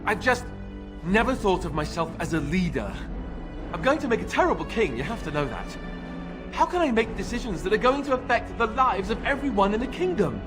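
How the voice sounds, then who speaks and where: An actor playing a reluctant young warrior newly made king in a video game, halting, earnest and self-deprecating. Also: high-pitched, very animated, music in the background. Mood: dismayed. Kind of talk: video game cutscene acting.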